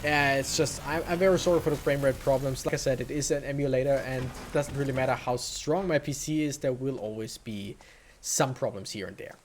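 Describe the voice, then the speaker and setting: A man's voice: medium pitch, steady tone, brisk, slight background noise. A man hosting a let's play with casual, rambling commentary, thinking aloud as he plays. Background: faint video game music.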